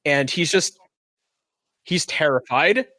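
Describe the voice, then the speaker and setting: Male game master, slightly high voice, casual narration, close dry sound. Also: expressive, takes it slowly, bright, forceful tone, dry recording. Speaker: game master and podcast host.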